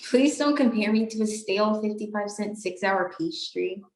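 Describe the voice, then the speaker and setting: A woman's voice: mid-range voice, normal speed, slight background noise, close to an everyday voice. A young woman acting an earnest, exasperated college student in a student stage play. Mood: weary, softened. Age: young adult. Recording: echoey stage room.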